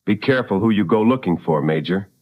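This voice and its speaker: A man playing a composed late-night radio call-in host in an audio drama, measured, probing and courteous, in a slightly dull recording. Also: on the low side, animated, breathy edge, dry recording.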